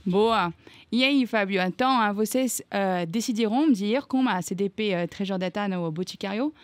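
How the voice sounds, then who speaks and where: A woman's voice: medium pitch, moderate pace, no room sound. A woman hosting a business podcast in casual conversation, welcoming and introducing her guests with fillers along the way. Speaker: podcast host.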